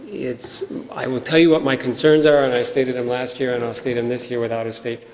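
A man's voice: medium pitch, level tone, a little fast, a little mellow. A middle-aged man, a school administrator, answering questions hesitantly and carefully at a public meeting. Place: indoor meeting room, at podium. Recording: reverberant meeting hall, faint noise.

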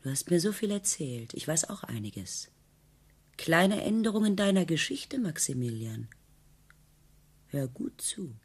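Husky, teasing wife. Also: female voice, low-pitched, big pitch swings, a little slow, long pauses, full character voice. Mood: wistful, insinuating. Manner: arch, ironic, needling. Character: teasing, probing newlywed wife, ironic and poised. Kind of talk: German radio play acting.

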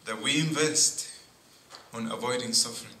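A middle-aged man, a priest giving a sermon at a pulpit, speaking in slow, deliberate phrases with pauses and frequent asides of "see". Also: mid-range voice, sharp tone. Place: church sanctuary at a pulpit. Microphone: boom mic at pulpit. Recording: echoey church with faint noise.

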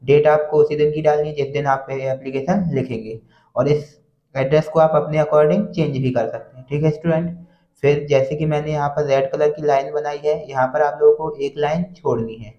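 An Indian man giving an instructional lesson to students as a coaching teacher, reading phrases slowly and then explaining them. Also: on the high side, fairly even intonation, dark tone. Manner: repeats a check-in phrase to students. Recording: dull, roomy echoing sound.

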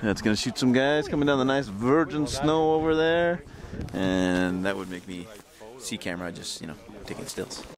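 A man's voice: medium pitch, animated, normal speed, hardly any pauses, crowd noise. A man vlogging his day as a photographer, chatting casually and joking at his own expense outdoors on a ski mountain, filmed with a handheld camera. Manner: joking, self-mocking, chatty. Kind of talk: casual behind-the-scenes vlog. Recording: loud outdoor noise behind voice.

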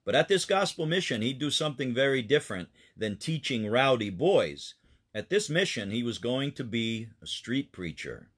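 A man's voice: mid-range voice, very animated, moderate pace, a bit bright, slightly rough. A man, a pastor, telling a children's missionary story in an earnest, explanatory way.

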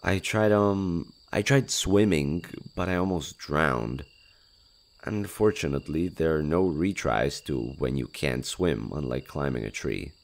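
A man voicing a shy, gentle skinwalker monster in an audio roleplay, speaking hesitantly with innocent curiosity about humans. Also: rumbling low, creaky, heavily acted. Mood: sheepish. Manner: hesitant, halting, innocent wonder. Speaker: voice actor. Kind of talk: intimate audio roleplay, one-sided dialogue.

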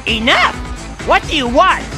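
An arrogant young hawk, boss of a gang of thieves in a video game. The voice is high and wildly animated, impatient and hot-tempered, with music behind it. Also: male voice, a little slow, exaggerated voice. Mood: irritated. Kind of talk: video game cutscene voice acting.

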